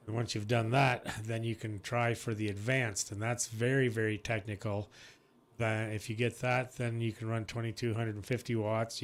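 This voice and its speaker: Man chatting on ham radio. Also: lowish voice. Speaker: amateur radio operator. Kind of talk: casual chat over ham radio.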